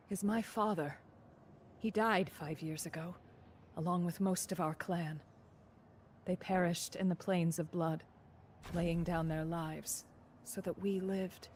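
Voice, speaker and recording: Low, unhurried, proud warrior woman. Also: darkish tone, slight background noise. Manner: solemn, earnest, resolute. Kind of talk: scripted fantasy game voice acting.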